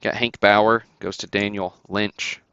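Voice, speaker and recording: A man with a deep, smooth voice hosts a card break stream, reading out items and names in an easygoing, casual way. The sound is very dry and close. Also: level tone, a little slow, pushed and bright, dry recording, dull audio. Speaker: host of a sports card break livestream. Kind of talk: casual livestream commentary, calling out cards and buyers.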